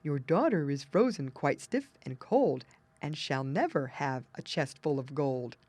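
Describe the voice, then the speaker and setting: A stubborn little storybook dog, voiced by a woman, barking out rhymed prophecies. The chant is lowish and lively, recorded dry and close. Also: a little slow, no echo. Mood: ominous.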